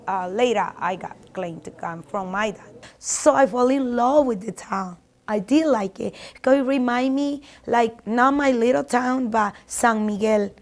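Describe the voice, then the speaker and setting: A woman in her fifties from El Salvador with a Salvadoran accent, Spanish her first language, speaking in a heartfelt, reflective way in a documentary interview, often restarting her phrases. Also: on the high side. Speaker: barber shop owner.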